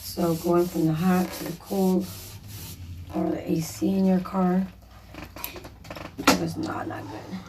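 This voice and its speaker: A woman with a slightly hoarse, raspy voice, casually narrating a painting project in a chatty, apologetic way with lots of fillers. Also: fairly low pitch, level tone, slow, long pauses, far from the mic, background noise. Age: adult, a mother. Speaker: DIY vlogger.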